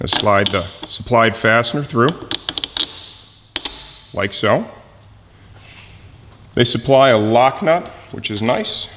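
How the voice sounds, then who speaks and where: A man presenting a hands-on mechanical how-to, instructive and often checking in with a quick "okay". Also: medium pitch, animated, long reverb tail, background noise.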